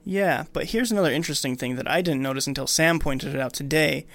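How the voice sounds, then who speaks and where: Male podcast co-host, slightly high voice, dry studio sound, conversational step-by-step recap. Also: fast talker, a bit bright, no room sound. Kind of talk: casual film recap discussion.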